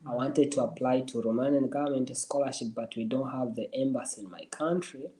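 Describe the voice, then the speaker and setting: A man's voice: medium pitch, a little fast, dark tone, a little hiss or hum. A man from Somalia, hosting a casual livestream and giving encouraging advice to viewers, often repeating and restarting his phrases. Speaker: livestream host answering viewers.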